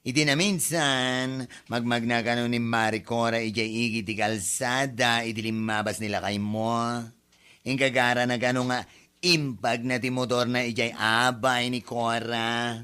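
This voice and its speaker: A woman's voice: very deep voice, steady tone, measured pace, fairly bright tone, smooth. A woman with an Ilocano accent playing an indignant, gossipy neighbour in a comedy drama, scolding in exclamations.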